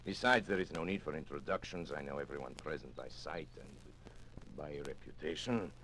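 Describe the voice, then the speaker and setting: Deep-voiced, suave condemned villain. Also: male voice. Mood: smoothly mocking. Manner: theatrical, deliberate, silkily ironic. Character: suave, menacing condemned criminal agent, mockingly courteous. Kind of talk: 1940s radio drama narration and acting. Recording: noisy old 1940s radio broadcast.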